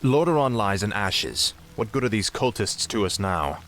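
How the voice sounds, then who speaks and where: An actor playing a cold, remorseless young prince turned death knight in a fantasy game, grim and imperious. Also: male voice, fairly low pitch, big pitch swings, moderate pace, a bit bright. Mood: cold, impatient. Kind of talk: fantasy video game acting. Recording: music under the voice.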